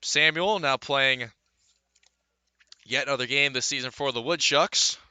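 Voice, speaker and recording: A man calling live baseball play-by-play for radio, mixing pitch-by-pitch description with conversational asides. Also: a little slow, pushed and bright, smooth, no echo. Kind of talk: live sports commentary.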